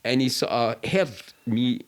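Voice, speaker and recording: A man's voice: medium pitch, measured pace, clear, resonant tone. A middle-aged Scottish man telling anecdotes casually in a colloquial, rambling, sweary way, as an interview guest.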